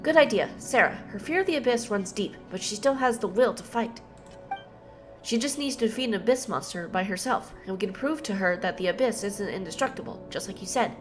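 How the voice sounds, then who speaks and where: A woman's voice: mid-range voice, lively intonation, brisk, faint music behind. A woman streaming a game, giving blunt casual reactions and reading dialogue aloud in an informal way.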